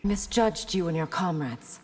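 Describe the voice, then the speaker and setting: Husky, haughty warrior princess. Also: female voice, lowish voice, lively intonation, measured pace, a little mellow. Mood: cool, haughty. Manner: cool, regal, challenging. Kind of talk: video game fight banter. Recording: reverberant game hall sound.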